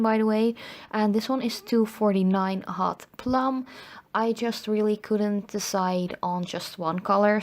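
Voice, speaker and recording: A young woman from the Netherlands, slightly hoarse after being ill, chatting casually through a voice-over tutorial with lots of "um" and "like". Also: mid-range voice, a little mellow. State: slightly hoarse, recovering from illness. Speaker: nail art video host.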